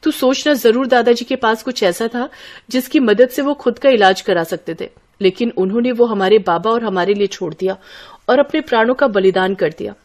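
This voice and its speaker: A woman's voice: fairly high pitch, moderate pace, a little mellow, close to an everyday voice. A woman voicing a clever, confident young elder sister from a village in a scripted story. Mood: knowing.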